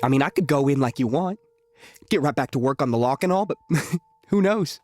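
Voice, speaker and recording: Male actor as wisecracking locksmith outlaw, mid-pitched, highly animated, folksy, sardonic, volatile, western audio drama. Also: normal speed, no echo, faint music behind, close to an everyday voice. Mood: bitterly menacing.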